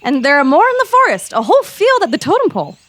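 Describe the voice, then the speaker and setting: A woman acts a sarcastic schoolgirl in an audio drama. Her voice is high and swings widely as she talks with sassy spirit. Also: wildly swooping pitch, fast talker, fairly bright tone, exaggerated voice. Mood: coaxing. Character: spirited, sarcastic schoolgirl, wary yet persuasive. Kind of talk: comedic audio drama acting.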